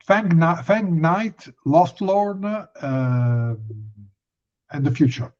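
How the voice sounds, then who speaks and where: A man hosting a podcast interview, asking questions in a halting, hesitant way over a thin, narrow line. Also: on the high side, a little mellow. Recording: tinny narrow phone-like line.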